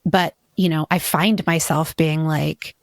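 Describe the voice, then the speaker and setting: A woman's voice: sits low, fairly even intonation, measured pace, no echo, studio quality. A woman in her forties from Hawaii, a podcast guest telling her story in a candid, reflective way with frequent restarts. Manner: reflective, many restarts and "you know".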